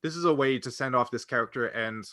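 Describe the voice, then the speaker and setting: A man co-hosting a casual fan discussion, thinking aloud in a halting way and circling back over phrases. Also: medium pitch, sharp tone, no echo, clean studio sound. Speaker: podcast co-host.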